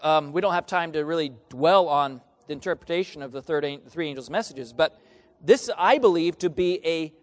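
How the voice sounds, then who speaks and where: Male preacher, high voice, sermon, call and response with the congregation, long hall reverb. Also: fairly quick. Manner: rhetorical questions to the congregation, reads scripture aloud.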